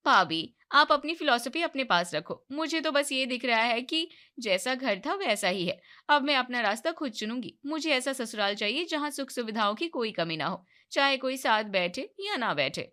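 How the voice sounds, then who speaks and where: A woman's voice: fairly high pitch, lively intonation, normal speed, few pauses, pushed and bright. A woman voicing a blunt, sarcastic, money-hungry young daughter in a moral-story cartoon. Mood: scornful, insistent. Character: sharp, greedy, money-minded young daughter, scornful and insistent.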